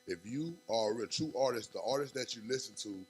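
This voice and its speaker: A young man, a rapper talking as a guest on a podcast in fast, animated street talk full of fillers. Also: mid-range voice, a little hiss or hum. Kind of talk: casual podcast interview.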